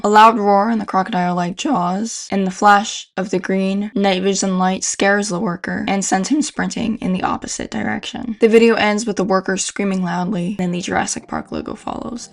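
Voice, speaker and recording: A woman's voice: mid-range voice, gravelly. A young adult woman giving casual, informal, slangy video commentary as a host. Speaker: YouTube horror video host.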